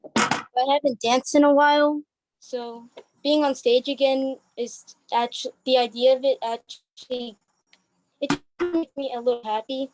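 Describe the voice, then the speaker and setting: A woman's voice: sits high, steady tone, measured pace, noisy background. A woman who performs on stage, chatting casually as an interview guest. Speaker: stage play cast member being interviewed. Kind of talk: casual chat.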